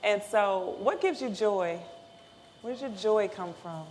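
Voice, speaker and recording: A woman's voice: medium pitch, unhurried, a little mellow, low-quality recording. A woman moderating a panel conversationally, attentive and affirming. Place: live panel event in a room. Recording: live room with clear background noise.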